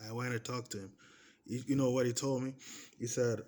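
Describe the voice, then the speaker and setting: A man in his thirties speaking English with a Portuguese accent, his first language being Portuguese. His voice sits at an everyday middle pitch. He talks to camera in a casual, emphatic way, repeating himself and stacking restarts as he drives each point home. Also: steady tone, brisk, mellow, a little hiss or hum. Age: 30s. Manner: emphatic and repetitive, restarting phrases and pressing points with "okay?". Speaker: vlogger. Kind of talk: casual personal monologue to camera.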